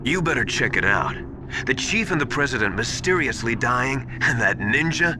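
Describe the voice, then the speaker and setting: A man's voice: mid-range voice, lively intonation, normal speed, background noise, lightly acted. A man voicing an earnest, suspicious military adviser in a video game, explaining things with emphasis. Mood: urgent suspicion. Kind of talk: dramatic video game radio dialogue.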